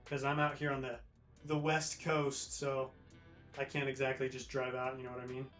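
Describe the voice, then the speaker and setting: A man's voice: medium pitch, monotone, moderate pace, fairly bright tone. An American man hosting a livestream, chatting casually with viewers in a laid-back, slangy way. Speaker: livestream host. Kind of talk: casual chat with live viewers. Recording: roomy echo with music behind. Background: music, guitar.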